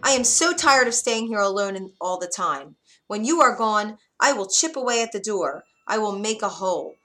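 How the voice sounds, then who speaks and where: A woman's voice: mid-range voice, animated, normal speed, bright, forceful tone, faint music behind. A woman voicing a young fairy-tale girl, yearning and stubborn, with a plaintive, insistent manner. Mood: defiant.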